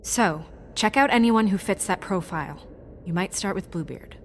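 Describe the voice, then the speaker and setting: A woman's voice: mid-range voice, expressive, moderate pace, a little hiss or hum, lightly acted. A woman playing a poised, determined fairytale office administrator who is defiant yet caring, in naturalistic game acting. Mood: businesslike.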